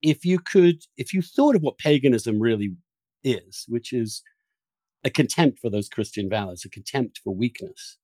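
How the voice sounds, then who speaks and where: A man's voice: medium pitch, expressive, normal speed, mellow, no room sound. Man in emphatic political discussion, dry sound. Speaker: podcast host.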